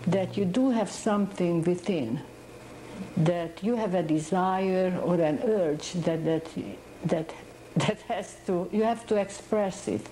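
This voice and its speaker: Hungarian-accented woman, halting and reflective. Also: on the low side, warm tone, roomy sound, slight background noise, poor audio. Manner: halting, searching for words. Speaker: painter. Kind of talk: interview monologue.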